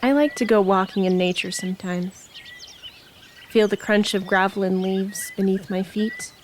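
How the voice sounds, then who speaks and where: A woman recites reflective poetry in a mid-range voice. Her phrasing is measured and contemplative. Light nature ambience with birdsong sits behind her. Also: medium pitch, steady tone, slight background noise. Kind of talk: reflective spoken poetry reading. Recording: noticeable outdoor ambience with birdsong.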